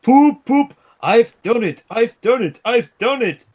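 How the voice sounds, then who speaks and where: Pompous male steam engine, acted by a man reading aloud, storybook, loud and swinging in pitch, self-important grumbler. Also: expressive, takes it slowly, exaggerated voice. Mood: proud. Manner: boastful, loudly complaining.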